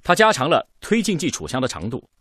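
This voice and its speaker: An actor playing a practical, confident engineer in a radio drama, presenting a design in an orderly, persuasive way. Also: male voice, mid-range voice, wildly swooping pitch, fast, fairly bright tone, breathy edge, no echo, clean studio sound, exaggerated voice. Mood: confident, explanatory.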